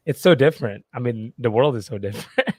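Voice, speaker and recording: A man's voice: medium pitch, expressive, quick pace, dry recording, clean studio sound. A man in his twenties from Indonesia, English his second language, chatting casually as a podcast guest with many fillers and restarts. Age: 20s. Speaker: guest, marketing agency owner.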